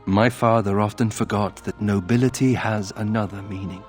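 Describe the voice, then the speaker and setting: An actor playing a brooding young nobleman of a disgraced family in a video game, husky, wry and rueful in a conversational way. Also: fairly low pitch, fairly even intonation, moderate pace, soft background music, lightly acted. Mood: rueful reflection. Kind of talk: video game character acting.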